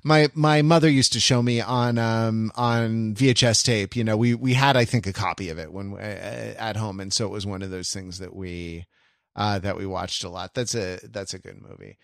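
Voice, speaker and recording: A man's voice: lowish voice, fairly even intonation, moderate pace, creaky, no room sound. Man chatting casually in a dry, close sound. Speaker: podcast co-host. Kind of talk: casual chat.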